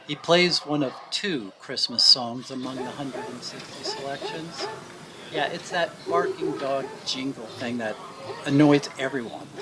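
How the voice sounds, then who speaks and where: A man reads scripted story narration for a radio drama in a measured, storytelling way. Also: medium pitch, sing-song, background noise. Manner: measured storytelling in present tense, acting out brief quotes.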